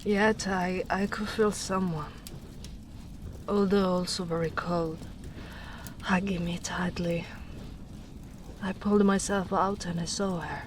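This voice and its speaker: Woman as a gentle giant ogre: lowish, very slow, breathy whispers, caring and calm. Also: a little hiss or hum. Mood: wistful. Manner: soft-spoken, half-whispered storytelling. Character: gentle big female ogre, tender and protective. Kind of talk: soft-spoken ASMR roleplay.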